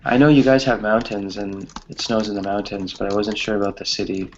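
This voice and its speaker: Male online class host, lowish pitch, casual and hesitant, lo-fi sound. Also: little pitch movement, brisk, a little hiss or hum. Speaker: online English class teacher. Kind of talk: casual chat with students.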